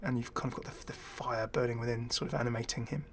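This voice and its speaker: A man's voice: medium pitch, steady tone, quick pace, gravelly, slight background noise. A man hosting a gaming video with casual, unscripted commentary. He is chatty and hesitant, thinking aloud and dropping wry asides.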